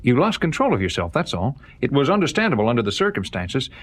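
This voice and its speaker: An actor playing a composed government official in a 1970s audio drama, speaking in a firm, measured and authoritative way. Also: male voice, medium pitch, big pitch swings.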